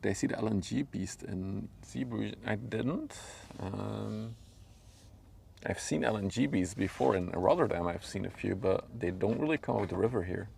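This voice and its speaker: A Flemish man with a low voice full of heavy vocal fry, chatting to a livestream. His native language is Dutch, and he talks in an easygoing, rambling way. He is outdoors, with faint noise behind him. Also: moderate pace, a little mellow. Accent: Belgian Dutch (Flemish). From Belgium. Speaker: live streamer, drone pilot. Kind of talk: casual livestream chat and commentary. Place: outdoors on a riverbank.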